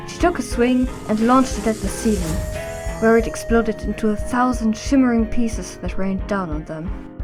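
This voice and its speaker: A woman reads scripted narration for an audio drama. Her voice sits a little high. Faint guitar music plays beneath her. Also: normal speed.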